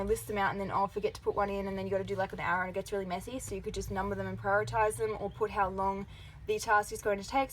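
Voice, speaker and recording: A woman's voice: mid-range voice, slight background noise, rough recording. A woman with an Australian accent giving a casual product review as a vlogger.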